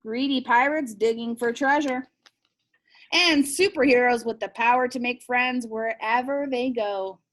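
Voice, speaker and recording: Woman, high voice, teacher, expressive picture-book read-aloud, questions to children. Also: measured pace, poor audio. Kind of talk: read-aloud storytelling with questions to young listeners.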